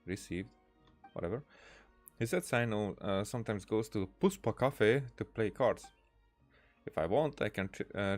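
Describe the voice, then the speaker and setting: Lowish-voiced man hesitantly reading game dialogue. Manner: hesitant, many "uh"s and restarts. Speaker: let's play streamer. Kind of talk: casual gameplay commentary, reading dialogue aloud.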